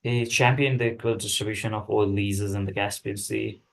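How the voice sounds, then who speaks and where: A man's voice: fairly low pitch, fairly even intonation, normal speed, vocal fry, fairly bright tone, a little hiss or hum. A man at a script table read playing a businesslike intelligence analyst giving a briefing. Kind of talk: dramatic script reading.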